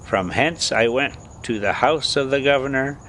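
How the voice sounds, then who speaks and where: A man reading a classic story aloud as scripted narration, with deliberate phrasing and dramatic emphasis. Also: mid-range voice, fairly bright tone, a little hiss or hum. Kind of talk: scripted audiobook-style narration.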